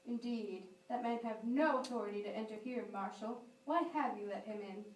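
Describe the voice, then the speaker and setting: A woman's voice: fairly high pitch, normal speed, lightly acted. A woman playing a stern, imperious male colonial judge in an amateur play, with curt, authoritative questioning. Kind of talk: amateur stage-play acting. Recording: echoey room, distant mic, faint noise.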